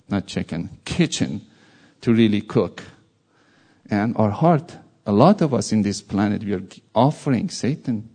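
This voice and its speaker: A man's voice: mid-range voice, vocal fry, mellow, breathy edge. A man from Iran with a Persian accent, giving an informal sermon with jokey asides. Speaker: preacher.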